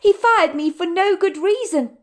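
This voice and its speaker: A woman voicing an earnest young waitress in an audiobook story, speaking in a raised, emotional, pleading voice. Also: unhurried. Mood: apologetic. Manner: high, pleading, tearful delivery.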